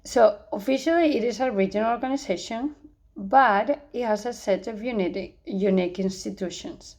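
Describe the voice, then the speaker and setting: A young woman from Spain speaks English with a Spanish accent, reading a prepared course answer. Her voice sits at an ordinary middle pitch, and she keeps an earnest, measured pace, stumbling now and then into a quick restart. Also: gravelly, a little mellow. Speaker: student.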